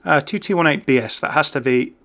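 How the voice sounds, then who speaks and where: A man's voice: fairly high pitch, fairly quick, gravelly, a bit bright. A man giving casual, off-the-cuff game commentary as a YouTuber, chatting and thinking aloud as he goes. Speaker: gaming YouTuber.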